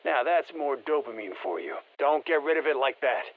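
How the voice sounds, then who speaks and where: A man's voice: mid-range voice, lively intonation, moderate pace, phone-quality sound. An actor playing a confident, folksy male soldier in a video game, giving colloquial, clipped tactical briefings through a radio filter. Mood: steady, matter-of-fact. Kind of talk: scripted game voicelines, mission briefing.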